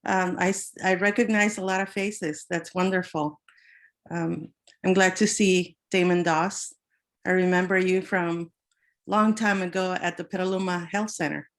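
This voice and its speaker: A woman from El Salvador, an invited guest speaking on a video call, unhurried and soft-spoken with some fillers. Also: lowish voice, steady tone. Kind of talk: personal introduction.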